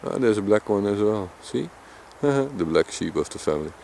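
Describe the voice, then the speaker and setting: A deep, somewhat mumbling man with a Dutch Limburg accent talks casually to his camera out in open countryside. His voice sits low, and a faint outdoor hum lies behind it. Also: expressive, normal speed, gravelly, darkish tone. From Limburg, Netherlands. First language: Dutch Limburg dialect. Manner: deep voice, mumbling, chatty with small laughs. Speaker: vlogger. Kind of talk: casual outdoor vlog narration.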